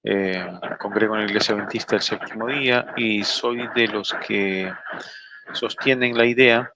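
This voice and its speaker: A low, gravelly man presents his side of a debate, pausing between phrases. There is clear noise behind him on the line. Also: fairly even intonation. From Peru. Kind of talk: online debate argument.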